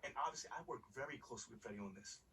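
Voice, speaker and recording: A man's voice: mid-range voice, rapid-fire, creaky, bright, forceful tone, recorded from a distance, slight background noise. A man playing a confident young American pro basketball player in a video game, talking in casual slang. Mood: earnest, candid. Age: young adult. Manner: slangy, conversational.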